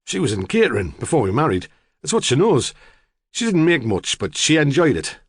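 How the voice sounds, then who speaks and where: A man's voice: medium pitch, sing-song, a little fast, husky, no echo, clean studio sound. A man voicing a squat, blunt older farmer who talks brusquely and impatiently. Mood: gruff.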